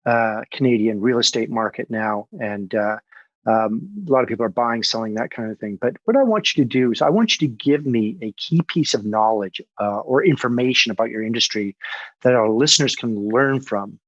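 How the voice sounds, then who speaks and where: A man's voice: medium pitch, moderate pace, no echo. A man hosting a podcast, introducing his guest and asking direct, upbeat questions. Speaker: podcast host. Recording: dry, dull lo-fi sound.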